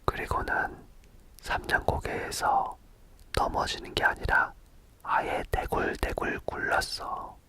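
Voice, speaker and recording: A man softly whispering a bedtime folk tale in a slow, hushed manner. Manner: soft slow whisper throughout. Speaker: storyteller.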